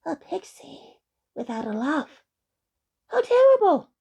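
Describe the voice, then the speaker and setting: A woman voicing excitable, anxious tiny pixies with high, shrill voices in a children's story. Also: moderate pace. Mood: shocked.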